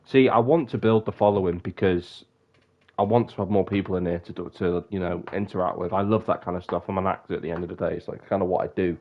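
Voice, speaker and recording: Low-voiced, bunged-up young man. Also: steady tone, fast talker, dull audio. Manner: rambling, self-interrupting. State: bunged up, sniffly. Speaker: streamer. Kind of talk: casual live-stream chat.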